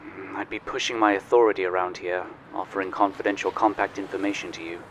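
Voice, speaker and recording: A man's voice: sits low, steady tone, fast talker, fairly bright tone, slight background noise. A man playing a genial, wry researcher in a sci-fi audio drama, speaking politely and a little formally, with playful touches. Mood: obliging, playful. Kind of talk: audio drama acting, conversational.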